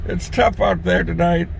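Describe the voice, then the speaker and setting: A man vlogging from his car in a snowstorm, losing his voice, chatty and quick to chuckle. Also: gravelly, low-quality recording. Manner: chatty, easily amused, chuckles often. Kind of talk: casual driving commentary. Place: inside a car driving through a snowstorm. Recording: loud car rumble and road noise. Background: car engine and road noise.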